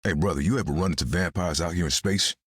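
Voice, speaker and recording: A gruff vampire hunter for a video game. His voice is extremely deep and husky, and he talks in a cool, streetwise way. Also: quick pace, no echo, studio quality. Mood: wary, casual. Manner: colloquial, cool. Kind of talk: video game character voice acting.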